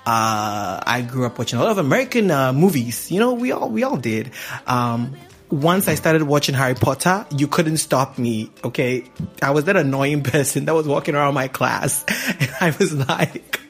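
A man's voice: high-pitched, breathy edge. A young man from Ghana hosting a podcast, talking casually and laughing often. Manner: chatty, laughing, animated asides. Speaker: podcast host. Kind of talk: casual monologue.

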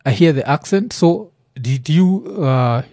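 A man with a Kenyan accent hosting a casual podcast, animated and quick to laugh. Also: a little high, dry recording, clean studio sound. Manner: animated, laughs a lot, mixes in local slang. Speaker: podcast host.

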